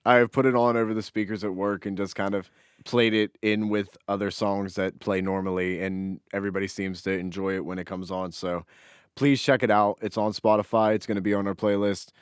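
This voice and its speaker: Lower-voiced man, playful podcast banter. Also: flat delivery, fairly quick, clear, resonant tone, no echo, studio quality. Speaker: podcast co-host. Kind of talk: casual comedic banter.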